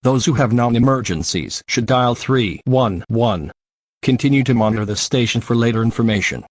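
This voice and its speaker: A man's voice: medium pitch, normal speed, no echo. A man reading a scripted emergency alert as an announcer.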